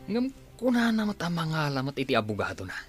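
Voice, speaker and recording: An actor with an Ilocano accent playing a stern, commanding schemer in a radio drama, giving curt orders. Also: male voice, a little high, wildly swooping pitch, fast talker, over music. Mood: conspiring.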